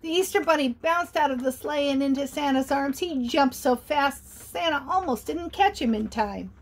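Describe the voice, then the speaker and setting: A woman reading a picture book aloud to children, chatty and animated, often pausing to ask her listeners questions. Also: sits high. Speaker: storyteller.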